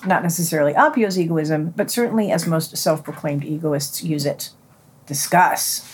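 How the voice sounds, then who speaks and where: A woman presenting a podcast, reading scripted news and quotations in a deliberate way with a husky voice. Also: deep voice, darkish tone, slight background noise. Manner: deliberate, announces quotations and their ends.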